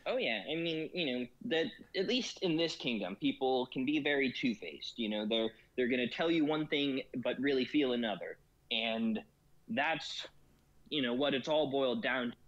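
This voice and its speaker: A man's voice: mid-range voice, normal speed, fairly bright tone, dull audio. A man chatting casually as a guest about a strategy game, rambling with many fillers and frequent laughs. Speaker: guest in gaming discussion. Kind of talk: casual chat.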